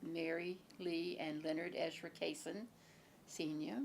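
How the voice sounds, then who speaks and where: A woman's voice: sits low, little pitch movement, unhurried, vocal fry, a little hiss or hum. A woman in her seventies from Virginia, carefully recalling her parents in an oral history interview. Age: 70s.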